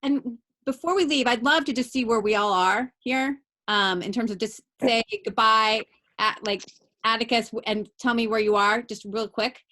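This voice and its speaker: A woman's voice: fairly high pitch, moderate pace, poor audio. A woman college teacher hosts a class video call, talking in a chatty way with lots of fillers. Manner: warm, chatty, many fillers.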